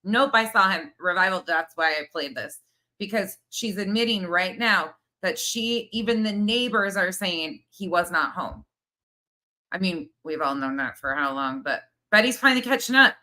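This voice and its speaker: A woman's voice: fairly low pitch, animated, moderate pace, pushed and bright. A woman hosting a casual reaction commentary, sarcastic and mocking, often repeating her points. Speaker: host reviewing another commentator's video.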